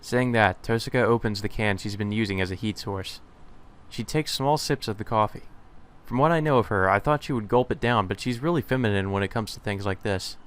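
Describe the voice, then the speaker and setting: A man's voice: fairly low pitch, fairly quick, fairly bright tone, clear, resonant tone. A man let's player reading a visual novel's translated lines aloud, now and then stumbling and rereading. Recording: music behind the voice. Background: visual novel game music.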